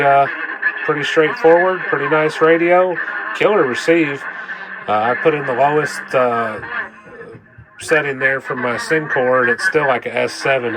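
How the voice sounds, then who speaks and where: A man with a cold talks in a plain-spoken, rambling, folksy way through a casual hands-on demonstration. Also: mid-range voice. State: coming down with a cold. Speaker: vlogger demonstrating a repaired radio. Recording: clear background noise.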